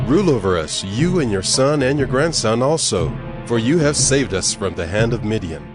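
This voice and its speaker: An actor playing an earnest, grateful Israelite follower, entreating and declamatory in a scripture audio drama. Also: male voice, medium pitch, big pitch swings, moderate pace, music in the background, lightly acted. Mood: earnest entreaty.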